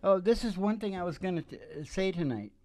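A middle-aged man chatting casually as a show host, rambling in a folksy, halting, philosophising way into a stage microphone. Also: high voice, lively intonation, darkish tone, dry recording. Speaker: host and singer of a father-daughter music podcast. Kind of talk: casual rambling banter between songs. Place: small dim curtained stage, indoors. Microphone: stage microphone at the mouth. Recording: dull lo-fi live recording with guitar. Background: acoustic guitar music.

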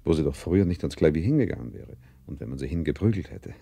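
An actor playing a fond, busy Viennese conductor father in a radio play, with an Austrian accent and a gravelly, husky voice. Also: a bass voice, expressive, fast, dark tone, no room sound, heavily acted. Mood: enthusiastic, pleased. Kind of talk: German radio play acting.

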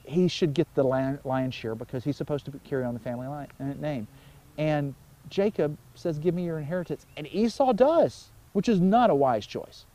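Male pastor, forties, slightly high, soft-edged mellow voice; conversational Bible teaching with storytelling and pauses for emphasis. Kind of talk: informal sermon, explaining scripture. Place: outdoors by a wooded pond.

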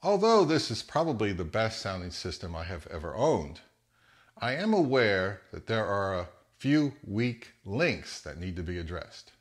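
A man's voice: on the low side, very animated, a little slow. A man in his fifties with an American accent, talking casually as the host of a vlog. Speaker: host of a hi-fi audio channel.

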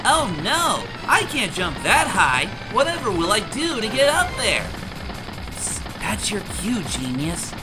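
A woman's voice: mid-range voice, big pitch swings, unhurried, music in the background. A woman voicing a cocky, impatient young male hedgehog hero with a flippant, sarcastic manner in a cartoon dub. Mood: teasing. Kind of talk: animated comic dub.

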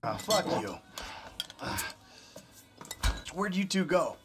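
A man's voice: sits high, big pitch swings, slow pace, over music, full character voice. A man playing a weary, sarcastic, short-tempered middle-aged American criminal father in a video game. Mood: irritated. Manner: sardonic, world-weary. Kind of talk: video game cutscene acting.

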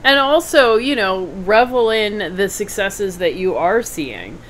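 A woman's voice: mid-range voice, animated, measured pace, fairly bright tone, slight background noise, rough recording. A middle-aged woman hosting a casual video call from a home room, encouraging and affirming her guest. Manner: encouraging, frequent affirming mm-hmms. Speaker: host. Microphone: video call webcam.

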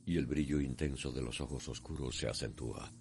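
Very deep-voiced male radio soap narrator. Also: steady tone, over music. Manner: solemn, deliberate. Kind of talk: dramatic, poetic scripted radio soap narration.

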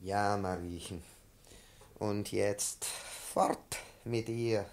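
An actor playing a nervous, volatile middle-aged professor in a 1950s radio play, agitated and evasive, repeating himself in a strained, husky voice. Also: male voice, fairly low pitch, steady tone, extremely slow. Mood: calming down. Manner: agitated, pleading, stammering repetitions. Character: nervous, volatile male professor, murderous yet childishly evasive. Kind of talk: 1950s German-language radio play acting.

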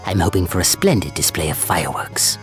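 A woman's voice: a bass voice, sing-song, a little fast, soft background music. A woman playing a sadistic, politely menacing alien tyrant in an English anime game dub, formal and self-satisfied in delivery. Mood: smug anticipation.

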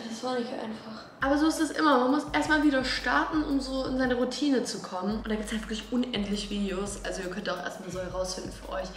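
A woman's voice: medium pitch, slight background noise. A young woman in her twenties chatting casually to the camera in her apartment, eager and full of slang. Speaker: lifestyle vlogger. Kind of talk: casual vlog talk to camera. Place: bright modern apartment indoors. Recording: roomy apartment sound with music behind.

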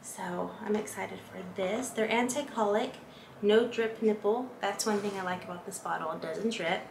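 A woman's voice: medium pitch, normal speed. A young woman vlogging at home, chatting casually to the camera with many fillers, recorded in her kitchen. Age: young adult. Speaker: lifestyle vlogger. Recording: echoey room with clear background noise.

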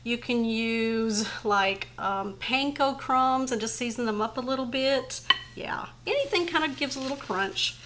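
A woman's voice: a little high, unhurried, a bit bright, a little hiss or hum. A middle-aged woman in her home kitchen, chatting viewers step by step through a casserole recipe. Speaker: home cooking channel host. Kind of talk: casual cooking demonstration.

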